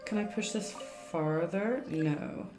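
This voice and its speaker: A woman gives casual live commentary on a game, chatting and thinking aloud at a medium pitch. The room is echoey, and soft music plays behind her. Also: slow pace. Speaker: game streamer.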